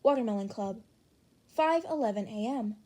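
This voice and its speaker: A young woman narrates a piece of fan fiction. Her voice sits fairly high and she reads as a scripted audiobook narrator. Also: lively intonation, normal speed, warm tone.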